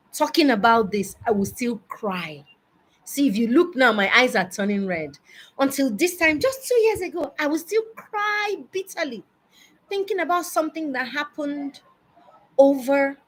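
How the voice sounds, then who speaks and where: A Nigerian-accented woman in her early forties giving a personal advice monologue, emphatic and deliberate, repeating words for stress. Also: fairly high pitch, rough recording. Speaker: speaker addressing parents.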